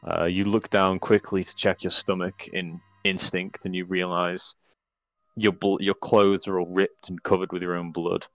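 Guy game master narrating, relaxed, a bit wry, kinda low voice, music faint behind. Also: moderate pace, no echo. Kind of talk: narrating a tabletop horror game.

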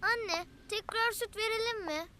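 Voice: very high-pitched, unhurried, pushed and bright, slight background noise, exaggerated voice. A performer voicing a cheerful, earnest little boy in a children's cartoon, speaking in an eager, innocent, childlike way. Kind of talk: children's cartoon dialogue and narration.

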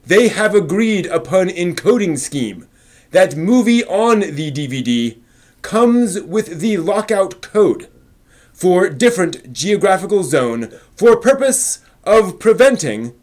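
A middle-aged man giving a theatrical dramatic reading, with impassioned, overwrought emphasis and drawn-out words. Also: high voice, very animated, a little mellow. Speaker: host reading an old forum post aloud. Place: small home room with shelves, indoors.

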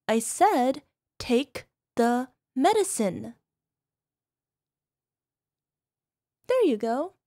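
A woman voicing a possessive young yandere wife who nurses her sick husband, sweetly coaxing with a quiet edge of menace. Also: high-pitched, no room sound, clean studio sound, full character voice. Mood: menacing. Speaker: voice actress. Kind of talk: ASMR roleplay audio drama.

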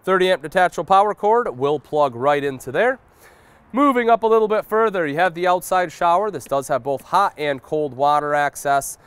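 A man's voice: fairly high pitch, moderate pace, fairly bright tone, smooth. An American man in his thirties giving an explanatory product walkthrough as a presenter, addressing viewers directly in a folksy way. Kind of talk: sales walkthrough review, explanatory. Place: outdoor paved RV lot between parked trailers.

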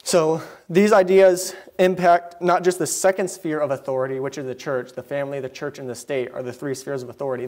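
A man's voice: on the high side, a little mellow. Young man preaching from a pulpit. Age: young adult. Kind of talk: sermon. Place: church sanctuary, behind a wooden pulpit. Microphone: pulpit microphone.

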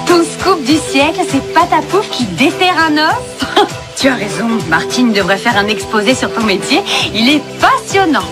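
A woman playing a gently teasing mother in a children's cartoon, measured yet expressive. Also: high-pitched, over loud music. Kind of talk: French animated children's series dubbing.

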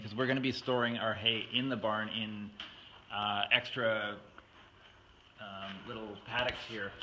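A middle-aged man giving an informal explanation and appeal to camera as a farm owner, speaking in hesitant, pause-filled phrases, recorded outdoors. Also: mid-range voice, pushed and bright, low-quality recording. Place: outdoors on a farm beside a wooden barn. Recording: outdoor camera audio with faint noise.

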